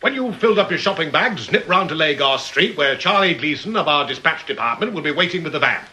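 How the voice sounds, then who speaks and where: An actor playing a brisk, impatient second-in-command of a criminal gang in a radio drama, with a bossy, clipped manner. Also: male voice, a little high, expressive, bright, forceful tone. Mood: brisk, instructing.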